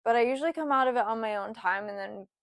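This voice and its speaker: A woman in her twenties, rambling through a casual personal story with lots of fillers, her voice sometimes dropping soft. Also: a little high, quick pace, dry recording, clean studio sound. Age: 20s. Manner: rambling, lots of "like" and "you know", voice drops soft at times. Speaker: vlogger.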